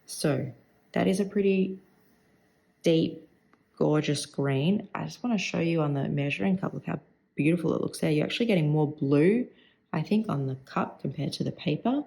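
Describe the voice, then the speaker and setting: A woman with a croaky, gravelly voice and plenty of vocal fry talks her way through an ink review. She rambles in a chatty way, thinking aloud while she swatches at her desk. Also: low voice, normal speed, warm tone, poor audio. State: croaky voice today. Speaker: stationery vlogger.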